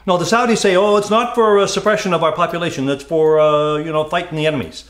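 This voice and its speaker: A man's voice: a little high, fairly even intonation, fast, a bit bright. A man co-hosting a talk show with opinionated, informal commentary full of rhetorical questions, recorded with a roomy echo. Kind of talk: opinionated conversational political commentary.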